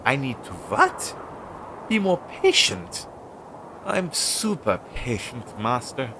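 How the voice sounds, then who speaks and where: Man voicing an anxious, slow-talking crow familiar, noisy audio. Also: very animated. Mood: flustered, indignant. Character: anxious, devoted male crow familiar of a witch. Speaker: voice actor in audio roleplay. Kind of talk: intimate second-person audio roleplay acting. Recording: low-quality recording with clear noise.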